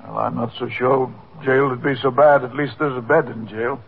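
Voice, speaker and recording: A man's voice: medium pitch, fairly even intonation, normal speed, creaky, close to an everyday voice. An actor playing a weary, stubborn old man in a 1950s radio drama, plain-spoken and evasive. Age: older. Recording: noisy, tinny 1950s radio recording.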